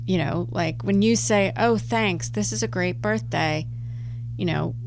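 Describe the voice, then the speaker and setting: Female advice-podcast host, conversational and challenging, medium pitch, pointed questions, light hum on the recording. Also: a little slow, creaky, low-quality recording. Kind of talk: casual advice podcast debate.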